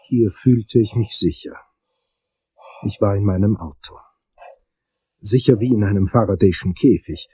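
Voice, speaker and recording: A man plays a hard-boiled investigator narrating a dark crime story. His voice is fairly low and husky, with dramatic, suspenseful delivery suited to audio drama. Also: unhurried, long pauses. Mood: tense, brooding.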